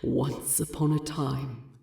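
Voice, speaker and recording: A woman voicing a weary, resolute male dwarf king in an audiobook story, with a grave, deliberate, gravelly delivery. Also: a bass voice, fairly even intonation, mellow, no room sound, clean studio sound, pitched below the performer's own voice, full character voice. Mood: wistful.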